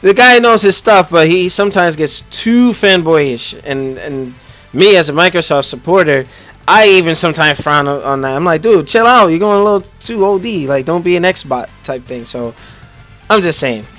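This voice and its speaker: Haitian-accented man, podcast host, fairly high voice, loud joking ramble, faint music underneath. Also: lively intonation, moderate pace. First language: Haitian Creole or French. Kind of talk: casual gaming podcast chat.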